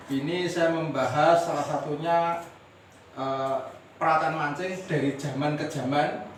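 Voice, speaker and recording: Male host, slightly high voice, talking gear slowly in a very echoey room, mic set back. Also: fairly bright tone. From Semarang, Indonesia. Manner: unhurried, explanatory, with pauses and filler sounds. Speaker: fishing channel host. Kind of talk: casual show-and-tell talk to camera. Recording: very echoey room, distant mic, faint ambient noise.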